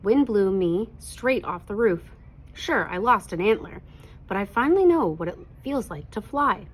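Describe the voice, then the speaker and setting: A woman's voice: medium pitch, expressive, moderate pace, slightly rough, rough recording. A woman voicing a cheerful, plucky broken reindeer ornament in a children's storybook, breezy and exclaiming.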